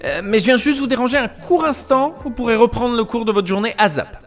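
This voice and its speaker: A man's voice: very high voice, expressive, a little fast, faint music behind. A man playing a smug, pretentious start-up boss in a comedy audio drama, peppering his French with English business buzzwords. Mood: smooth, bragging. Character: smug pretentious start-up CEO, smooth-talking male doctor.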